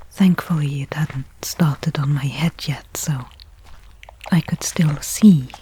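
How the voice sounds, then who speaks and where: A woman playing a weary stranded space explorer, wry and resigned, talking to herself in a breathy ASMR roleplay. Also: low-pitched, mellow, slight background noise, low-quality recording. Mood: shaken, wry. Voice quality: breathy, whispery. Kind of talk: ASMR audio roleplay monologue.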